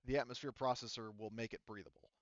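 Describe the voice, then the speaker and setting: A man's voice: medium pitch, steady tone, quick pace, muffled recording. A man running a tabletop game as game master, talking in a rambling, casual way with lots of fillers and the odd swear word. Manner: rambling, filler-heavy, profane.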